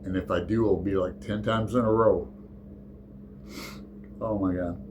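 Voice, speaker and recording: A man's voice: low-pitched, steady tone, a little slow, background noise. A man hosting his book channel, chatting through a tag in a rambling, hesitant way with lots of fillers. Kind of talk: casual book tag chat.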